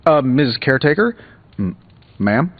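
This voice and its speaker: An actor playing a glib, quippy billionaire hero in a video game, with wry, flippant banter. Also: male voice, medium pitch, sing-song, measured pace. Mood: awkward. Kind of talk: video game character dialogue. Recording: slightly dull, music behind.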